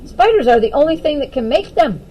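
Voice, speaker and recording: A sweet and earnest young storybook girl, played by a woman reading aloud, pitched high. She is admiring and plucky, with a very animated lilt. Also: brisk, a little hiss or hum. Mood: encouraging.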